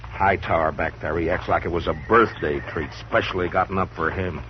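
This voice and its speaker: An actor playing a stern, steady frontier marshal with a calm, firm, commanding manner, on an old radio broadcast. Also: male voice, low voice, brisk, lo-fi sound, slight background noise, close to an everyday voice.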